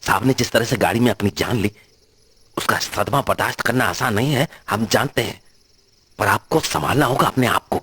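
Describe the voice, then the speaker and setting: Male actor as concerned rustic servant in a horror audio drama, respectful and folksy, pitched above a deep natural register. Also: normal speed, sharp tone, slightly rough. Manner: respectful, rustic colloquial Hindi phrasing.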